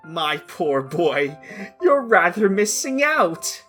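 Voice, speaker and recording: A man's voice: high voice, very animated, slow pace, fairly bright tone, soft background music, heavily acted. A man voicing a suave, mischievous older cartoon chimera with a theatrical, genteel drawl. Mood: teasing, smug. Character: suave, mischievous older male chimera trickster, grandly courteous. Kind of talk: cartoon comic dub.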